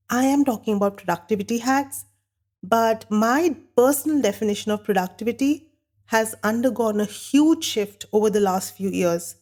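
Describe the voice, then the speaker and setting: A woman hosting a podcast while she has a bad cold, sounding nasal and croaky, talking earnestly and candidly on her own. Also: mid-range voice, darkish tone. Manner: earnest, candid, talks with a light laugh now and then. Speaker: podcast host. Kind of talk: solo podcast monologue.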